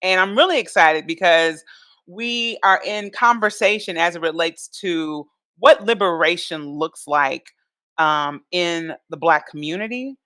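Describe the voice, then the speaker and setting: A woman hosts a podcast in a bright, lively voice, sounding upbeat and chatty. She fills her talk with "y'all" and "like." The recording is dry and close, with little room sound. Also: on the low side, measured pace, no room sound. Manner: enthusiastic, chatty, says "like" and "y'all". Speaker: podcast host. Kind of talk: casual podcast chat.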